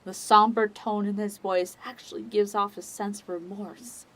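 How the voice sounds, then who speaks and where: A woman giving scripted dramatic narration as the narrator of a story, in a measured, deliberate manner. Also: mid-range voice, fairly even intonation, a bit bright, poor audio.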